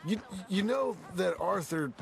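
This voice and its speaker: A man playing a rough, plain-spoken Old West outlaw with an American accent and folksy, terse phrasing in a game. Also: sits high, lively intonation, unhurried, slight background noise, heavily acted. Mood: sombre, reflective. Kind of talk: naturalistic game cutscene dialogue.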